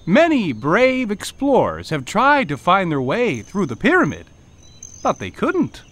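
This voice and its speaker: A woman voicing a friendly male goat in a children's video game, who gives slow, cautionary advice. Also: mid-range voice, huge pitch swings.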